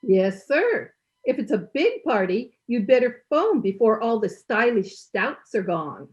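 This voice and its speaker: An actress playing a sassy, good-humored housekeeper with folksy wisecracks in an old-time radio comedy, slightly muffled. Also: female voice, fairly high pitch, sing-song, measured pace. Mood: teasing. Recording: slightly muffled online-call sound.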